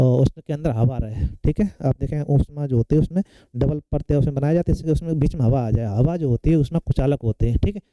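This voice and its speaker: A man's voice: fairly high pitch, warm tone, dry recording, muffled recording. A man giving an exam-prep science lecture, explaining step by step and often checking in with short tag questions. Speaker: science teacher for competitive exam students. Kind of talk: classroom lecture working through exam questions.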